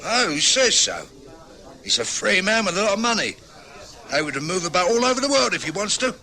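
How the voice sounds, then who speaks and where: Surly, blustering drinker. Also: male voice, high-pitched, sing-song, a little fast, pushed and bright, heavily acted. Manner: blustering, hostile, sneering. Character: belligerent pub-drinking husband, surly and defensive. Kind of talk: 1960s radio drama acting.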